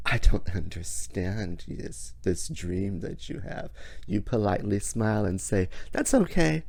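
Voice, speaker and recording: A hoarse, raspy man hosts a motivational talk with sassy, theatrical flair, calling the listener honey and darling. His voice sits low and gravelly. The sound is close and dry, with no room around it. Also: mellow, no echo. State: hoarse from illness. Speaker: podcast host. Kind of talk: motivational monologue.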